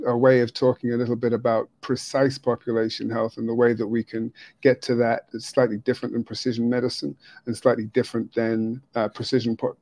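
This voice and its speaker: A middle-aged man from the UK talks through a virtual conference presentation on a webcam. His delivery is flat and measured, full of "uh" and "um" and small restarts. The sound is dry and close, with no room echo. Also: mid-range voice. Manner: measured, many fillers and restarts. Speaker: conference speaker. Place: hotel room, indoors. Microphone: video call webcam.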